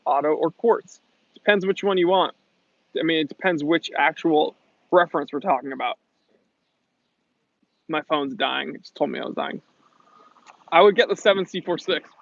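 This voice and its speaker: A man's voice: fairly high pitch, creaky, dry recording. An American man from New England hosting a casual livestream Q&A, chatting in a slangy, jokey, rambling way. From New England, USA. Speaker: livestream host. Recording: muffled lo-fi audio.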